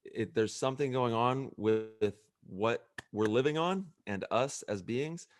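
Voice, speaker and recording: A man's voice: medium pitch, measured pace, no room sound, clean studio sound. A man hosting a podcast interview, asking earnest, leading questions in a casual tone. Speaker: podcast host.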